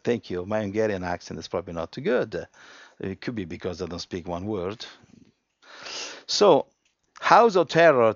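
A man with a low, gravelly voice delivers a sermon, reading scripture and explaining it with emphasis. He restarts often and swings from quiet stretches to loud ones. The recording is dry and dull. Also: no room sound. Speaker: preacher.

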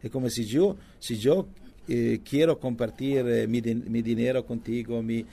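A man who preaches mainly in English and Italian, teaching from the Bible in halting second-language with frequent restarts and fillers. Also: medium pitch, gravelly, mellow. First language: not Spanish; preaches in English and Italian. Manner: halting non-native Spanish with many restarts and fillers.

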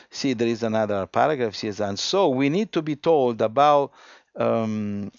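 A man preaching a sermon, reading scripture aloud and explaining it emphatically, with frequent restarts and swings from quiet to loud. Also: mid-range voice, creaky, no echo, lo-fi sound.

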